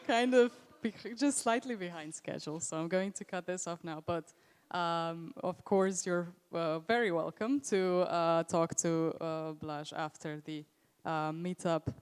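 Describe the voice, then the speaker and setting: A woman hosting a live meetup, rambling warmly through introductions with many fillers and little laughs. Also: lowish voice, takes it slowly, clean tone. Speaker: meetup host. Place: event room with stage.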